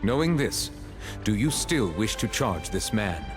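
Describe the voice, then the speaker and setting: Stern, low-voiced male judge. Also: big pitch swings, music in the background. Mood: formal, stern. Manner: measured, formal, authoritative. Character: stern, formal male judge presiding over a court. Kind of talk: scripted game dialogue.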